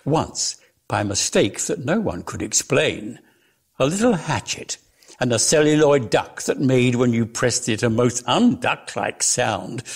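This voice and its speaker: A man giving an expressive, theatrical reading of lyrical prose as a measured storyteller. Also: mid-range voice, creaky, slightly rough. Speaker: reader of a dramatic story.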